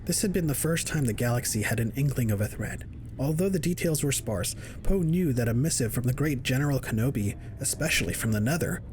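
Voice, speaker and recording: A man's voice: mid-range voice, a little mellow, a little hiss or hum. A man narrating a scripted science fiction audio drama in the third person.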